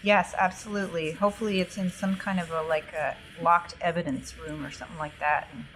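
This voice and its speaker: A woman's voice: lowish voice, fairly even intonation, fairly quick, a bit bright, a little hiss or hum, rough recording. A woman playing a tabletop roleplaying game, talking through the group's plans in a casual way.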